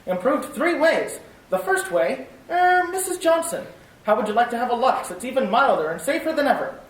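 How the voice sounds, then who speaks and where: A woman's voice: on the high side, big pitch swings, normal speed, distant mic. A woman playing an upbeat 1940s radio announcer reading a soap commercial. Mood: salesy. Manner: upbeat, salesy. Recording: echoey room, voice off-mic.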